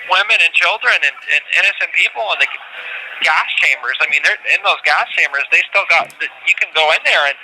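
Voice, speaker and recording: A man talking earnestly and haltingly from prison over a noisy phone line, repeating words as he makes his case. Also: on the high side, bright, forceful tone. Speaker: prisoner being interviewed by phone. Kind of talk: earnest, unscripted phone interview talk. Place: prison, on a telephone call. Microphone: telephone.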